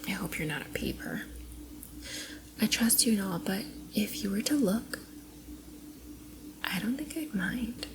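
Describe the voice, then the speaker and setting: A woman playing a flustered, affectionate young cosplayer in an intimate ASMR roleplay, coy and giggly, often dropping into soft breathy murmurs. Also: medium pitch, animated, a little slow, long pauses, background noise, close to an everyday voice. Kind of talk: ASMR roleplay, intimate one-sided dialogue to the listener.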